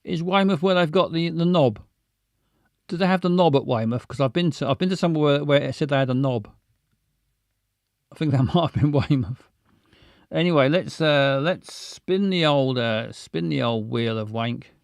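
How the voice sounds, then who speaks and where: A man's voice: fairly high pitch, lively intonation, moderate pace, vocal fry, darkish tone, no room sound. Brief: stammering man in chatty livestream, close and dry. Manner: stammering, rambling, self-mocking. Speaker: livestream host. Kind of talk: casual chat with viewers.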